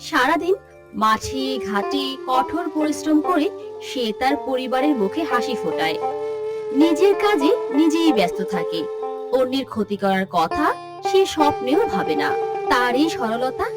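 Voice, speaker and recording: A woman's voice: high-pitched, sing-song, moderate pace. A woman narrating a scripted cartoon story. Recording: loud music over the voice.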